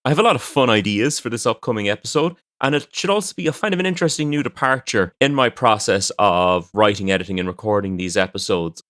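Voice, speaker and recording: A man with a fairly low voice hosts and narrates a fiction podcast. His delivery is scripted storytelling. The sound is dry, recorded indoors at a desk with little room echo. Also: animated, fast talker, few pauses, fairly bright tone. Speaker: podcast host and fiction writer.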